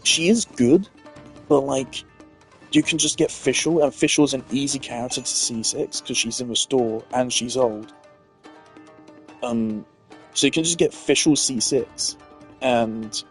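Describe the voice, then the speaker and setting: A man's voice: medium pitch, unhurried, faint music behind. A man streaming a video game, giving casual, opinionated commentary full of filler words.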